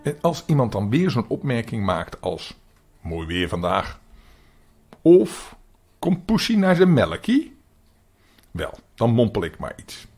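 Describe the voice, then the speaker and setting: A man's voice: mid-range voice, very animated, slow pace, long pauses, darkish tone, soft background music, heavily acted. A man voicing a dignified, easily affronted female cat in a children's story, articulating very precisely and primly. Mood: weary disdain. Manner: prim, extra clearly articulated.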